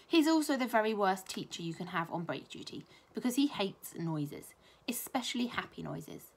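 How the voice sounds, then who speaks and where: A British woman, a primary school teacher, reading a children's story aloud with expressive narration. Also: mid-range voice, normal speed, vocal fry, breathy edge.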